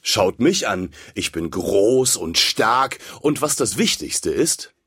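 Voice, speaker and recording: A man voicing a big, fat, boastful red candle in a storybook, speaking a little higher than his own voice. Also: animated, slow, fairly bright tone, husky, heavily acted. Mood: smug. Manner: boastful, mocking.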